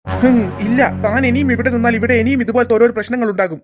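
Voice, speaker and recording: A stern, bossy male principal from a cartoon school, put on by a woman. He scolds in a gruff, dismissive way. The voice stays fairly high for a man. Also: steady tone, quick pace, music in the background, lightly acted. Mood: scolding.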